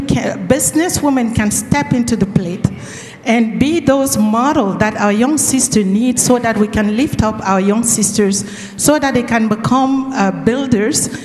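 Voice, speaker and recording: Woman from Cameroon, audience questioner; earnest, halting; higher voice, roomy hall reverb. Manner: earnest, halting, restarts often. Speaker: audience member asking a question. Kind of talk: conference question. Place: large conference hall. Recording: echoey conference hall.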